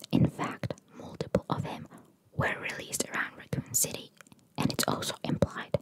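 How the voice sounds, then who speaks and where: A woman speaks entirely in a breathy, unvoiced whisper. She is an ASMR host reading facts aloud, and her delivery is informal and chatty, with her own opinions mixed in. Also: a bit bright, rough recording. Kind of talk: ASMR, whispered reading of trivia with casual asides.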